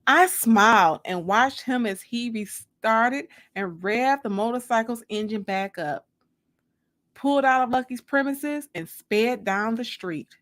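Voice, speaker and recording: A woman giving an expressive first-person dramatic audiobook reading, with theatrical pauses. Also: a little high. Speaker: author reading her own novel.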